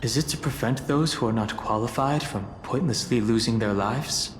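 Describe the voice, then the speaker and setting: An actor playing a calm, reserved, dependable young man who works as a guard in a video game, measured and understated. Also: medium pitch, slight background noise, natural voice. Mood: composed, practical. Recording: echoey hall reverb, clear music underneath.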